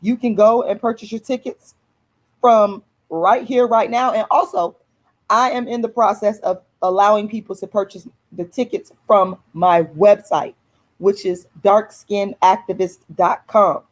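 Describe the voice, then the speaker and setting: A woman's voice: mid-range voice, fairly even intonation, unhurried. A woman, casually announcing an event to viewers, repeating herself and addressing them as y'all. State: hoarse. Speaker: activist host of her own channel.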